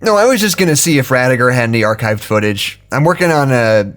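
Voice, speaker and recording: A man's voice: mid-range voice, animated, quick pace, fairly bright tone, lightly acted. A man playing an awkward, nerdy male researcher in an audio drama, hesitant and stammering. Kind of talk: naturalistic audio drama dialogue.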